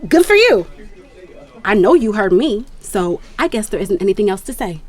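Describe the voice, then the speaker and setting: A young adult actress playing an ambitious female sports agent who lectures in a crisp, cutting way. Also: medium pitch, big pitch swings, normal speed. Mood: cold. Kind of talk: audio drama dialogue.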